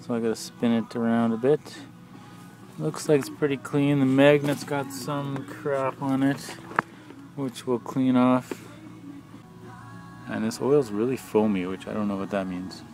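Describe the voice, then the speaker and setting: A man talking casually off the cuff as an amateur mechanic, in a plain-spoken, halting, matter-of-fact way while filming with a handheld camera. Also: mid-range voice, fairly even intonation, over music, poor audio. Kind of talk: casual off-the-cuff how-to narration. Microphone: handheld camera, speaker behind it.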